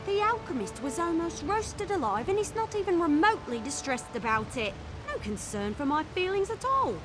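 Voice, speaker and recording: High, cocky elf archer. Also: female voice, big pitch swings, normal speed, loud music behind the voice. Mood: indignant. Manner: breezy, playful, informal. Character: cocky, chatty female wood elf archer, breezy and teasing. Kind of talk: video game character acting.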